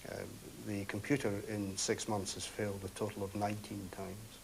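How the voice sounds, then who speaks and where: A man making a formal statement in a halting way. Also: lowish voice, flat delivery, gravelly, rough recording. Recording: faint hiss, dated TV audio.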